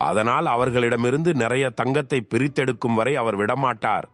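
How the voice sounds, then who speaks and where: A man playing a sly, greedy swindler in an animated cartoon, talking in a scheming, swaggering way. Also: mid-range voice, moderate pace, faint music behind, close to an everyday voice. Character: sly greedy male swindler selling temple land. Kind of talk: animated cartoon dub acting.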